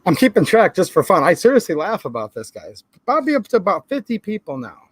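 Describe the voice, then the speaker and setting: A man with a Michigan accent speaks to camera in a high, light voice, joking and needling his viewers. The sound is dry and close, with almost no room around it. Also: very animated, brisk, husky, dry recording. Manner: sarcastic, chatty, keeps calling viewers "guys"; holds items up to the camera while talking. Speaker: firearms channel host. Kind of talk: casual talk to camera.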